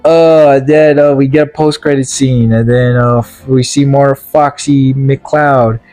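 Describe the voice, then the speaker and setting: A man's voice: mid-range voice, steady tone, unhurried, a little mellow, no room sound, faint music behind. A young man casually reviewing a video game movie, rambling and blunt with lots of fillers, his voice sounding tired and worn out.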